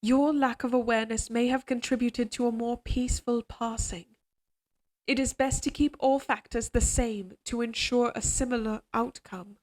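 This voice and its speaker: A woman voicing an obsessively devoted, quietly menacing evil queen in an audio drama, speaking in a formal, measured, imperious way. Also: on the high side, fairly even intonation, close to an everyday voice, low-quality recording. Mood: controlled menace. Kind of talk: scripted dramatic audio roleplay.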